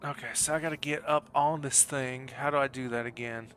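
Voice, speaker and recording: A man's voice: mid-range voice, fairly even intonation, fairly quick, fairly bright tone, a little hiss or hum. A man giving casual gameplay commentary, exclaiming and talking to himself and the game. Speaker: gamer recording a playthrough.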